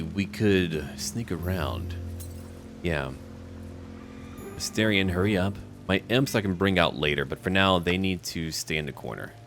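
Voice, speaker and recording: Deep-voiced man chatting through a game. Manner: thinks aloud, chatty and relaxed, talks to party members. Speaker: gamer playing a role-playing game. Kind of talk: casual let's-play commentary.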